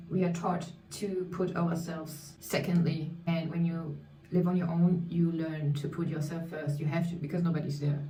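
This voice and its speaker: Woman doing her makeup on camera, english not her first language, mellow low-ish voice, echoey room, faint music behind. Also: distant mic. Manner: reflective, candid, searching for words in English. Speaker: beauty vlogger. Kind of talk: casual chat while doing makeup.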